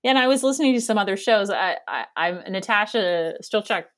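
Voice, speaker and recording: A Canadian woman in her thirties with a loud, nasal voice, chatting as an interview guest and rambling with frequent laughs. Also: mid-range voice, lively intonation, brisk. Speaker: guest, theater education director. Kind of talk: casual interview conversation.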